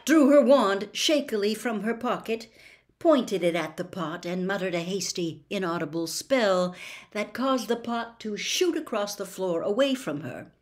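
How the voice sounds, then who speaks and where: An older woman narrating a storybook as host, with a theatrical, expressive delivery. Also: mid-range voice. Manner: theatrical, animated. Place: small home room with bookshelves.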